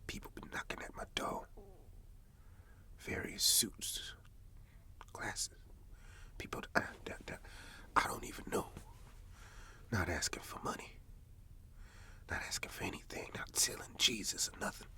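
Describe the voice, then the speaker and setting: A man's voice: low voice, bright, forceful tone, full character voice. Man acting a whispering, spooked farmer. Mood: uneasy, hushed. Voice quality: breathy whisper. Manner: slow folksy storytelling, repeating phrases. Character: rural farmer man, spooked, troubled, God-fearing. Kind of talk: whispered ASMR roleplay monologue.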